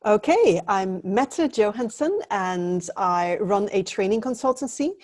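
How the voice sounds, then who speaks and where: A woman's voice: mid-range voice, lively intonation, unhurried, darkish tone, no echo, clean studio sound. A middle-aged woman from Denmark, a guest on a video call interview, answering in an earnest, thoughtful way with some fillers. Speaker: interview guest, training consultant.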